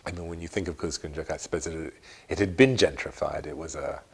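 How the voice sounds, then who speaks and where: Very deep-voiced older man, reflective. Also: a little fast, a little hiss or hum. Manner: hesitant, rambling, self-correcting. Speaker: interviewee, long-time resident. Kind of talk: reflective interview talk.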